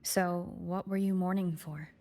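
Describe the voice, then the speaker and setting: A woman voicing a calm, perceptive, quietly challenging young woman in a video game, in a soft, husky voice. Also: on the low side, unhurried. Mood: calm, probing. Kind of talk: understated video game voice acting. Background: background music.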